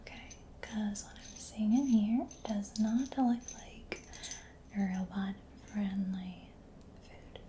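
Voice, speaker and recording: A woman whispering in a breathy, gentle, unhurried murmur for an ASMR roleplay, close to the microphone. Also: medium pitch. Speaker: ASMR creator playing a robot mechanic. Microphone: close-up sensitive microphone. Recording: close-up whisper with clear background noise.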